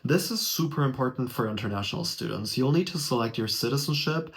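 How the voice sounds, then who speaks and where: A man's voice: mid-range voice, animated, fast, vocal fry. Brief: young German man, native German speaker, casual friendly how-to walkthrough, close webcam in a small room, mid-range voice. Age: young adult. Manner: friendly, direct, speaks to the viewer. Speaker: vlogger explaining a college application.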